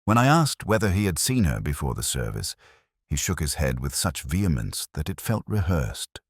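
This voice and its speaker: A man narrating a first-person detective story from a script, in a measured, literary and reflective manner. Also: rumbling low, sing-song, husky, dry recording, studio quality.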